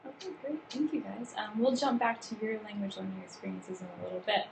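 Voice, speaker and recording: Gravelly-voiced woman leading a workshop. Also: medium pitch, fast. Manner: explanatory with frequent fillers and restarts. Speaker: workshop trainer. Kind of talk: teaching a staff training session. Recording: roomy with background noise.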